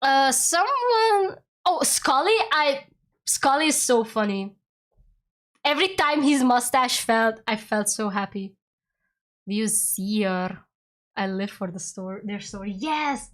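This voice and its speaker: Giggly young woman streamer. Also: on the high side. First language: Hungarian. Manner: giggly, rambling, talks in quick excited bursts. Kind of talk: casual chat with viewers during a game stream.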